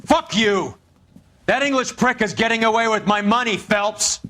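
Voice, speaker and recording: An actor playing a crooked, foul-mouthed police detective with a hot temper and an aggressive manner. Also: male voice, high-pitched, normal speed, sharp tone, slight background noise. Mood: enraged. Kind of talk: hard-boiled game voice acting. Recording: music under the voice.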